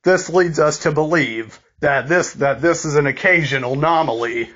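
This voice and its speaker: A man reads a scripted emergency broadcast announcement in a formal, deliberate way, halting and repeating words, like an amateur. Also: on the high side, a bit bright, slightly rough, muffled recording.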